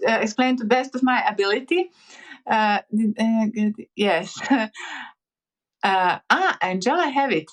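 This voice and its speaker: Woman on a piano livestream, talks a bit high, lots of ums and restarts, friendly chatter with chat. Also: poor audio. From Montenegro. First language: Montenegrin. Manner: halting, many fillers and restarts, chatty. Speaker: livestreaming pianist. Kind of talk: casual livestream chat reading comments.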